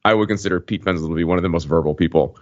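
A man chats on a podcast in a fairly low voice. He speaks haltingly, circling and searching for the word he wants. The sound is slightly muffled. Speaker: podcast panelist. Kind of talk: casual podcast discussion.

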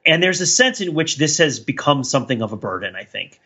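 A middle-aged man co-hosting a podcast, casually talking about pop culture in a rambling, self-reflective, animated way. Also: medium pitch, fairly quick, husky, muffled recording. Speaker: podcast co-host.

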